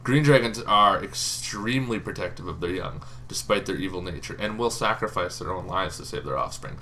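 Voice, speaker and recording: A man with a fairly low voice talks through game lore like a channel host. His delivery is informal and unscripted, halting as he thinks aloud. There is some faint noise behind him. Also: lively intonation, sharp tone. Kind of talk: casual off-the-cuff explainer. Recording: noticeable background noise.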